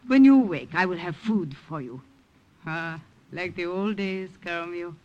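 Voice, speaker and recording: A woman's voice: fairly low pitch, lively intonation, a little slow, darkish tone, muffled recording. An actress playing a doting, steadfast elderly Italian grandmother with an Italian accent in an old-time radio drama. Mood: tender. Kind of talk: 1940s-50s radio drama acting.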